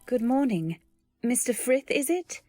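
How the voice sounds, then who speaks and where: A woman's voice: fairly high pitch, big pitch swings, a little slow, no echo, faint music behind. Radio drama heroine, played by a woman: timid young wife, earnest and well-mannered, intimate dry recording. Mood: polite. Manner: hesitant, gentle, polite. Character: timid, earnest young new wife narrating her tale. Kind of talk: old-time radio drama, first-person narration and dialogue.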